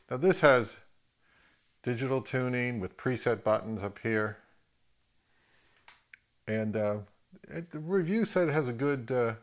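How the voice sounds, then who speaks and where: A middle-aged man giving a casual, unscripted gear demonstration, thinking aloud in halting phrases as he handles the equipment. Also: fairly low pitch. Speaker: host of an audio gear review channel.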